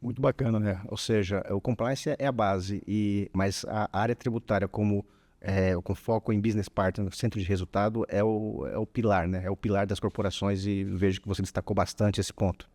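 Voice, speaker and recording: A Brazilian man hosting a corporate talk, speaking formally and measured with hesitant fillers. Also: fairly low pitch, steady tone, a little mellow. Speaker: host, company product director.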